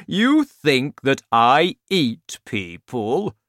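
A man voicing a huge, gentle giant in a storybook reading, speaking slowly and loudly. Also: very animated, dry recording, clean studio sound, exaggerated voice. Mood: booming. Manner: slow, booming, deliberate.

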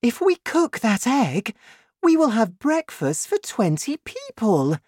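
Woman acting a firm storybook mother, raised pitch. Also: wildly swooping pitch, slow, darkish tone, husky, no room sound, clean studio sound, heavily acted. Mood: practical. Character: practical, dutiful mother, a grown woman. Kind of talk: children's storybook reading.

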